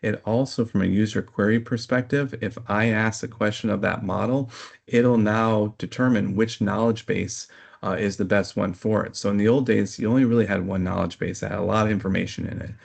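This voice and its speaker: A man explaining technical material as an interview guest, in a measured, hesitant manner. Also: lowish voice, fairly even intonation, gravelly.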